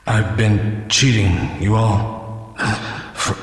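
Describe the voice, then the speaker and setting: An actor playing a dying male soldier in a video game, speaking in a halting, stilted way. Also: fairly low pitch, steady tone. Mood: regretful. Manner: halting, slow, stilted delivery. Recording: echoey game audio with long reverb and music.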